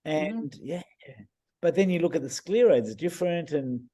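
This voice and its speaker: Croaky-voiced man, warm and chatty. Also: on the high side, expressive, normal speed. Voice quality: hesitant, many restarts and fillers. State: croaky voice, clearing throat. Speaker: iridologist guest reading eyes. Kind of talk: casual video-call discussion.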